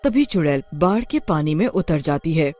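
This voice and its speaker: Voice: medium pitch, expressive, moderate pace, warm tone, soft background music. A narrator telling a scripted story in a dramatic storytelling manner.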